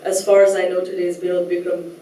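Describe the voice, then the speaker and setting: Mellow-voiced woman speaking in a roomy hall. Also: lowish voice, completely flat delivery, a little hiss or hum. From Nepal. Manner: thoughtful and measured, with soft hesitations. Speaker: writer giving a talk. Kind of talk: lecture, partly reading a translation aloud. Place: lecture room. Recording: roomy echo, distant mic, faint ambience.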